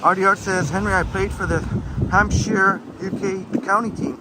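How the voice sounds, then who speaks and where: A man's voice: high voice, fairly even intonation, normal speed. A man hosting a walking livestream, rambling casually and reading out viewer comments from the chat. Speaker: livestream host. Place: outdoors on city streets near a lake. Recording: wind noise on the mic outdoors.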